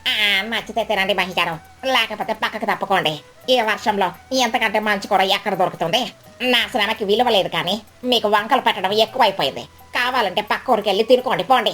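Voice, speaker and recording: A woman voicing a greedy, sly female crow food vendor, boastful and scornful, in an animated children's story. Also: mid-range voice, brisk, natural voice. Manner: smug, haughty, scornful. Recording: background music bed.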